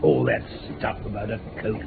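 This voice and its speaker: An actor playing a bluff, indignant doctor and detective's sidekick in a radio drama. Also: male voice, fairly low pitch, lively intonation, moderate pace, lightly acted. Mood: incredulous. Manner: blustery, incredulous. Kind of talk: 1960s British radio drama acting. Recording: tinny, band-limited old radio recording with hiss.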